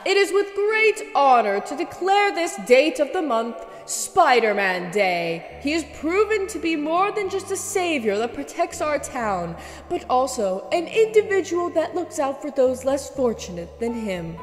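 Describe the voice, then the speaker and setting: City mayor, female actor: stately, high, lively-pitched ceremonial address, ringing with echo, audio drama. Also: a little slow, faint music behind. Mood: proud, ceremonial. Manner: stately, proclaiming. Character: dignified female city mayor giving tribute. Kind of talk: formal ceremonial speech, acted.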